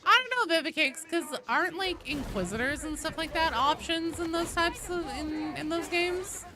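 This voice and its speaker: A woman's voice: high-pitched, normal speed, bright, forceful tone. A woman streaming a game, chatting casually in a blunt, rambling, sweary way as she plays. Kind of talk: casual chat while playing a game live.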